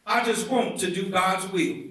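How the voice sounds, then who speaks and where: A man giving a dramatic, oratorical reading of a speech, with deliberate, measured phrasing and emphatic swells. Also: sits high, a bit bright. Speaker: reader at a commemorative event. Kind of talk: dramatic reading of a historic civil rights speech. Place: indoor theatre event, heard from a distance. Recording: echoey indoor hall, far from the mic.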